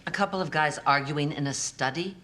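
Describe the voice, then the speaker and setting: Bright, biting, domineering aging film star. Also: female voice, low voice, a little fast. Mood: critical, impatient. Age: middle-aged. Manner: biting, blunt, commanding. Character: abrasive, sarcastic, domineering aging film actress. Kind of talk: television period drama acting.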